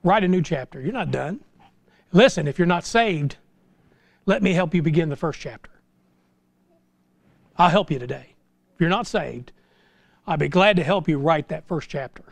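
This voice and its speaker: Man, American accent, middle-aged, high-pitched voice, informal sermon, animated folksy exhortation, dry sound. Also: normal speed, gravelly, long pauses, no room sound. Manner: folksy, exhortative, with rhetorical questions. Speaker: pastor preaching. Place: small church sanctuary, indoors.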